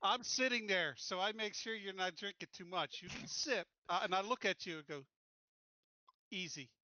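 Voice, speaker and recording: High, bright-voiced man at a game table. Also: moderate pace, no room sound, muffled recording. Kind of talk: casual game table chat.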